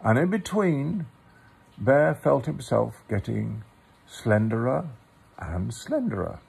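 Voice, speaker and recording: A man reading a children's story aloud in a measured way with pauses and informal asides. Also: mid-range voice, sing-song, creaky, darkish tone, breathy edge. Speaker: storybook reader.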